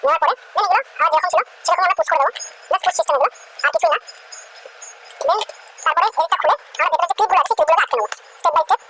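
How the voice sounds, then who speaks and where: Very high, rapid woman's voice. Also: pushed and bright. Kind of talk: step-by-step tutorial narration. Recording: noisy ambient background.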